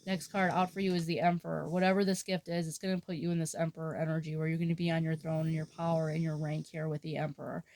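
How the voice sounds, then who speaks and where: A woman reading tarot in a soft, halting way. She sounds nasal and has a sore throat. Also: low-pitched, little pitch movement. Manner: soft-spoken with frequent fillers and restarts. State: sore throat, under the weather. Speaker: tarot reader. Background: dog snoring.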